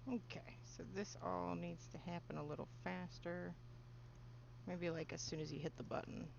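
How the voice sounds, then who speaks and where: A woman's voice: on the low side, little pitch movement, moderate pace, vocal fry, background noise. A woman game developer chatting casually and giggling during a livestream Q&A while she works. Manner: chatty and giggly, talks to her dog. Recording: muffled stream audio.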